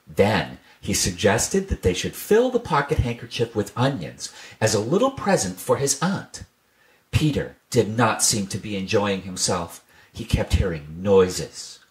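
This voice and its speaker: Man, storybook reader, low voice, gentle, measured narration. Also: big pitch swings. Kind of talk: storybook read-aloud.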